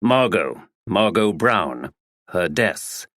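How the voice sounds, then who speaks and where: A man's voice: low voice, sing-song, very slow, a bit bright, no echo, studio quality, exaggerated voice. A man as a courteous, formal male investigator. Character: polite, probing male investigator.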